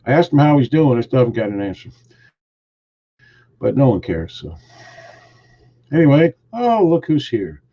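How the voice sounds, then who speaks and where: A man's voice: medium pitch, big pitch swings, normal speed, pauses often, dull audio. A man hosting a casual live stream, greeting viewers and teasing his co-hosts in a joking, rambling way. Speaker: host.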